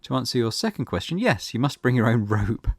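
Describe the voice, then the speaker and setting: Man voicing seminar organiser, visual novel, courteous, businesslike, instructive, animated intonation. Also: mid-range voice, fairly quick, slightly rough, dry recording, clean studio sound. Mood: matter-of-fact. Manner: matter-of-fact, instructive.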